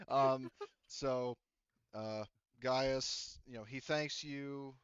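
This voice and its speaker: A man's voice: medium pitch, extremely slow, fairly bright tone, clean tone, dry recording, lo-fi sound. A man running a tabletop roleplaying game, rambling along with lots of fillers and restarts. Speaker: game master.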